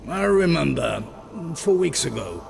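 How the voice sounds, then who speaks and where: Gravelly, guarded crime boss. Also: male voice, mid-range voice, very animated, a little slow, music in the background, full character voice. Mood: guarded, dealing. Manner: terse, wary, dismissive. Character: guarded, gruff Parisian underworld boss, suspicious and dismissive. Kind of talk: video game cutscene acting.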